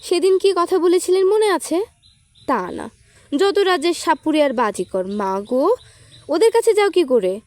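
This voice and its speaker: A woman plays a teasing teenage girl from an audio storybook, pitched very high. She is coquettish and sulky, scolding in a playful way, on a dry recording. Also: animated, a little slow, darkish tone, no echo. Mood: reproachful teasing. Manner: coquettish, reproachful.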